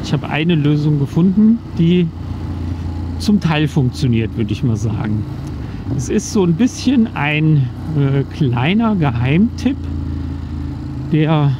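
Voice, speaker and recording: German man talking from his motorcycle. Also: fairly high pitch. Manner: relaxed, rambling with many fillers. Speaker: motovlogger reviewing action cameras. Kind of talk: casual vlog explanation. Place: riding a motorcycle outdoors on country roads. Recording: muffled helmet mic with wind and engine noise.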